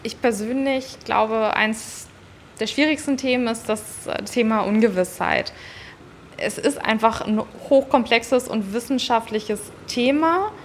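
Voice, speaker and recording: A woman's voice: fairly high pitch, measured pace, slight background noise. A woman giving spontaneous, explanatory answers as an interview guest. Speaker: interviewee, volunteer citizen member of an advisory body.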